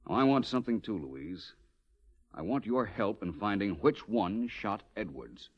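An actor playing a suave, probing gentleman detective, polished and deliberate, in a 1940s radio drama. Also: lowish voice, big pitch swings. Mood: quiet, probing. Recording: dull old radio recording.

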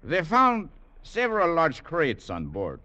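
A probing police lieutenant in a 1940s radio play. He is formal and sardonic, his pitch moving freely. The old recording sounds dull. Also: wildly swooping pitch, takes it slowly, sharp tone, full character voice. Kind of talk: 1940s radio drama acting.

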